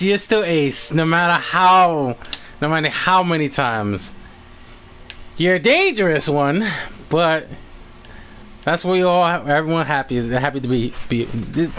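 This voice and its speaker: A man chatting and commentating casually as the host of a live stream, halting and often repeating himself. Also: on the high side, a bit bright, slight background noise.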